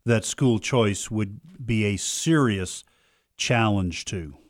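A man's voice: on the low side, slow, no echo, studio quality. A man co-hosting a radio talk show, speaking earnestly with frequent stumbling restarts. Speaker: radio co-host.

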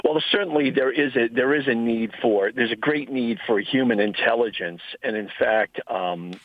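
A man being interviewed as a guest on a radio show, speaking haltingly with many restarts. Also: mid-range voice. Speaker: guest, retired intelligence officer. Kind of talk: phone-in interview. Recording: tinny phone line.

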